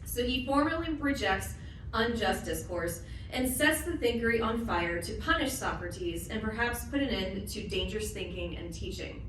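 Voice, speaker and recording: A woman's voice: mid-range voice, expressive, moderate pace, a bit bright. Echoey woman presenter, heard from afar. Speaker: presenter introducing a play and its cast. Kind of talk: scripted narration to a live audience. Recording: very echoey room, distant mic, faint noise.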